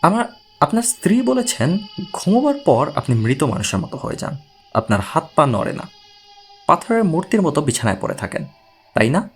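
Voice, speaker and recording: A man acts out a patient investigator from an audiobook story. He reasons step by step and puts careful questions. The voice is slightly high and husky, with very lively intonation. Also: soft background music. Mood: calm, analytical. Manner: measured, explanatory, questioning. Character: analytical, patient male investigator explaining his theory.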